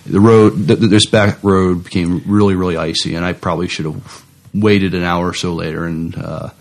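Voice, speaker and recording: A man co-hosting a podcast, chatting casually in a mild, halting way. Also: sits low, fairly even intonation, gravelly. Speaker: podcast co-host. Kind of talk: casual chat.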